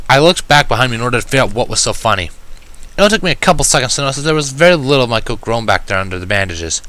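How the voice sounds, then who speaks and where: A man's voice: medium pitch, sharp tone, poor audio. A man reading scripted first-person fan fiction as the narrator.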